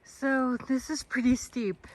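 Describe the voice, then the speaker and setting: A woman's voice: sits high, steady tone, slow pace, darkish tone, poor audio. A woman vlogging casually on a mountain trail outdoors, rambling and thinking aloud into a handheld camera. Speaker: thru-hiker vlogger.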